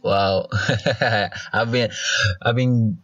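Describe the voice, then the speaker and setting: Halting man host with a dull sound. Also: lowish voice, steady tone. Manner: searching, halting phrasing. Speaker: podcast host. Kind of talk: interview chat.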